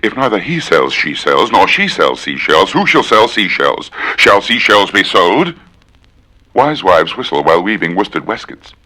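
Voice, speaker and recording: A man with a low, bright voice performs as a theatrical reciter. His pitch swings widely and every word is dramatically and precisely enunciated, with a forceful edge. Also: sing-song, unhurried. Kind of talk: theatrical tongue-twister recitation.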